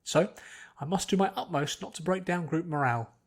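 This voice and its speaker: A man's voice: on the high side, a little fast, close to an everyday voice. A man voicing a genteel, theatrical young lady unicorn with a love of fashion in a story reading. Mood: resolute.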